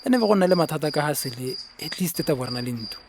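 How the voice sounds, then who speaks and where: An actor with a Botswana accent playing a reasonable, placating young fiancé in a radio drama, soothingly mixing English and Setswana. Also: male voice, on the high side, lively intonation, rapid-fire, background noise, close to an everyday voice. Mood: earnest explaining. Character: reasonable, placating young fiancé defending his money decision.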